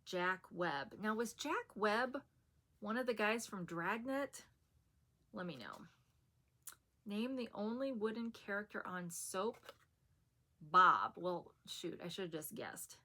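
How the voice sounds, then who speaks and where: A woman talking casually to her viewers as a haul vlogger, rambling with soft fillers and a soothing voice. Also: mid-range voice, animated, slow, long pauses. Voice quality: soft and soothing, said to put people to sleep. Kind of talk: casual chat to camera.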